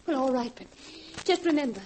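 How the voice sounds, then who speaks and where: Woman playing high-voiced, earnest radio drama heroine. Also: a little fast, mellow, close to an everyday voice. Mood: stern, indignant. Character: earnest, conflicted young woman, protective older sister. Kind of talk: 1940s radio acting. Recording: noisy vintage 1940s radio recording.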